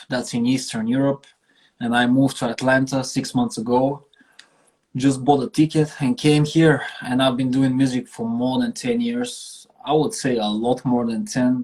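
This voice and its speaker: A man's voice: medium pitch, a little mellow. A man from Bulgaria telling stories casually as an interview guest, speaking earnestly and emphatically with slang and some swearing. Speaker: interview guest, singer and street performer.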